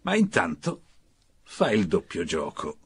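A man's voice: low voice, huge pitch swings, a little slow, husky, heavily acted. An actor playing a cynical, defiant Fascist militiaman in a radio drama, vehement and conspiratorial. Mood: sneering, confiding.

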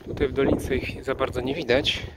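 A man narrating as he walks through a snowy park, often dropping to a hushed whisper as he sneaks up on animals, recorded on the camera's mic. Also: mid-range voice, little pitch movement, fairly quick, very noisy. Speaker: nature vlogger stalking wild boar. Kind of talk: first-person outdoor narration while walking. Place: snowy park outdoors in winter. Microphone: handheld camera mic, filming point of view.

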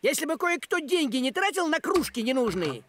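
High-voiced, thrifty, grumbling cartoon tomcat. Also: very animated, fairly quick, fairly bright tone, slight background noise. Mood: stern, scolding. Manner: dry, lecturing, stubborn. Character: thrifty, sensible, grumbling talking tomcat, an adult homebody. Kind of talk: animated cartoon voice acting. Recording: background music under the voice.

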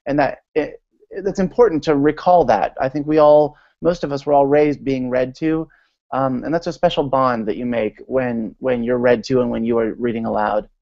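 A man's voice: mid-range voice, warm tone, dry recording. An American man in his thirties giving an informal, chatty and enthusiastic presentation as a guest on an online video call. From United States, Maryland. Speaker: guest speaker, literacy advocate. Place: small room at home, indoors. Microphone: video call with earphones. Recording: dull lo-fi video call audio.

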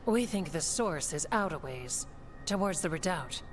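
A practical dwarf warden in a fantasy game. Her voice sits fairly low and she talks firmly and directly, with faint music behind. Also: lively intonation, measured pace. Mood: resolute. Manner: firm, direct. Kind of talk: video game dialogue acting.

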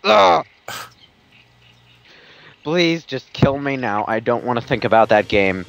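A man's voice: mid-range voice, lively intonation, slow, creaky, fairly bright tone, highs cut off. Sarcastic man commentating on a game. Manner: sarcastic, mock-exasperated, swearing. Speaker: gaming commentator. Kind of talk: casual joking group game commentary. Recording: game music behind the voice. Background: video game music.

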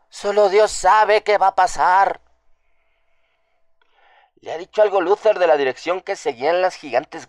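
A man acts out a scared farm housekeeper woman in a radio drama. The voice is high and trembling, in a close, dry recording. She rambles on in superstitious alarm, gossiping and repeating herself. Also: no echo, close to an everyday voice.

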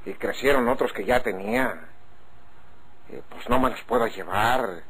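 A man playing an easygoing, chatty sharecropper with a rural northern Mexican accent and a gravelly voice, in a radio drama. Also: mid-range voice, very animated, measured pace, fairly bright tone, full character voice, poor audio. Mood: folksy explaining. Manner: folksy, rambling. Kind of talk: old-time radio drama acting. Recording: faint noise, cattle, old radio serial.

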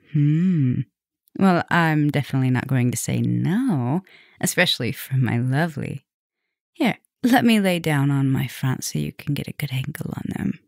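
A soft-spoken, dominant female vampire professor, acted by a woman in an intimate ASMR roleplay. She takes her time, murmuring affectionately and teasingly with a gravelly fry. The sound is close and very dry. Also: low-pitched, no room sound. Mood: casual, affectionate.

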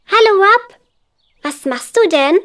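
A woman voicing a cheerful, helpful little girl in a children's educational cartoon, with clear, simple, instructive phrasing. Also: extremely high pitch, expressive, slow pace, a bit bright, exaggerated voice. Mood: friendly, helpful.

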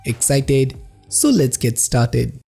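A man's voice: medium pitch, sing-song, slow, vocal fry, dark tone, breathy edge. A man hosting a tech video, reading scripted narration in a sing-song delivery. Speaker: host of a tech review channel. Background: background music.

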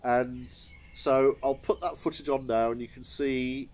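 A man's voice: mid-range voice, fairly even intonation, unhurried, darkish tone. A middle-aged English man, a chateau owner, telling a wry, understated story to camera while seated in a sunny garden courtyard. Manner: wry, understated, chuckling at his own mishaps.

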